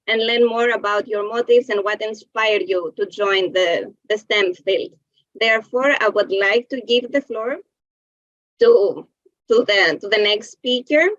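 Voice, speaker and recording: A woman moderating a webinar panel over a video call, in formal, halting phrasing. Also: on the high side, a bit bright, no echo, muffled recording.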